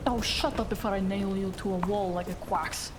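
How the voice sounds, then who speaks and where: Cyberpunk noir drama: hard-nosed, scolding female partner acted by a woman, mid-pitched, blunt, in long reverb over noisy ambience. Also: steady tone, normal speed, close to an everyday voice. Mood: indignant. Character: tough, no-nonsense, foul-mouthed female partner in noir cyberpunk. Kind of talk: noir audio drama dialogue.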